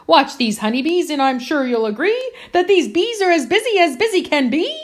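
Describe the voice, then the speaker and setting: A woman's voice: sits high, big pitch swings, normal speed. A woman reading a children's picture book aloud in a theatrical, emphatic, playful way.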